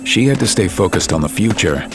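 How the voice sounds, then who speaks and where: Deep-voiced serious male drama narrator. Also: lively intonation, a little fast, loud music behind the voice. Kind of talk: scripted audio drama narration.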